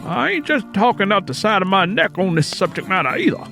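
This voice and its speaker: A man acts out a resigned, cautious older man from the American South in an audiobook drama. His voice is high and forceful, and he lectures in emphatic rural dialect. Music plays underneath. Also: sing-song, fairly quick, gravelly, heavily acted. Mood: bitter, warning. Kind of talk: acted audiobook character dialogue.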